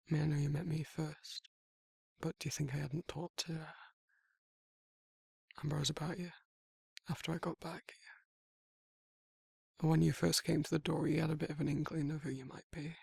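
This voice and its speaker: A man playing a gruff but shyly fond werewolf in an intimate ASMR audio drama, speaking in a husky, halting, stammering way. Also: fairly high pitch, a little mellow, no room sound, heavily acted. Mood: flustered, tender. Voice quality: husky, gravelly. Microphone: close microphone, dry sound.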